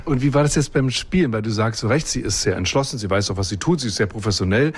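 A man's voice: fairly low pitch, fast talker, husky. A man interviewing a guest in a conversational, engaged and appreciative way. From Berlin, Germany.

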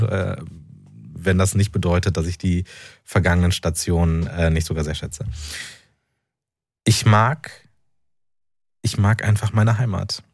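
A man from North Rhine-Westphalia, an author and journalist, speaking as a radio interview guest in a thoughtful, halting way with many fillers. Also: low voice, darkish tone, husky, no room sound, clean studio sound.

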